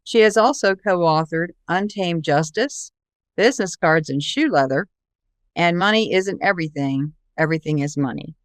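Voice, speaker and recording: Smooth woman hosting a podcast. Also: lowish voice, no room sound, clean studio sound. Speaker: podcast host. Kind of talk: podcast interview with read introduction.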